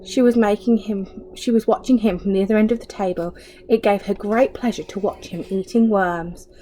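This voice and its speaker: A woman's voice: medium pitch, steady tone, moderate pace, dark tone, a little hiss or hum, rough recording. A woman reading a children's story aloud like a teacher, expressively, with asides and questions to young listeners.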